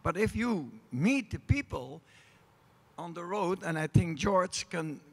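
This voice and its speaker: A man on a panel speaks emphatically in a high, lively voice. His tone is gravelly and husky. He coughs now and then. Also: takes it slowly. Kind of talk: panel discussion.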